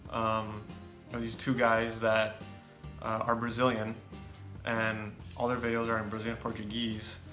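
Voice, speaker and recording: A young American man chatting casually as co-host, relaxed and rambling with fillers. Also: medium pitch. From United States. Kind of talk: casual chat. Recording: echoey room with faint music.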